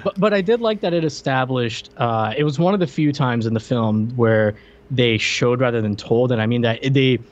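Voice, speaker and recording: A man's voice: mid-range voice, moderate pace, dull audio. A man co-hosting a podcast, chatting casually and teasing his partner as they review a movie. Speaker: podcast co-host.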